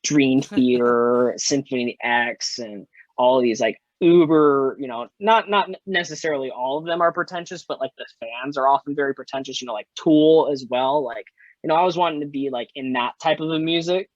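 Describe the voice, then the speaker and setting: Young male musician guest, twenties, mid pitch, rambling profane chat, dry and slightly muffled recording. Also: normal speed, no room sound. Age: 20s. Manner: rambling, frequent "like" and "you know", swears freely. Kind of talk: casual chat.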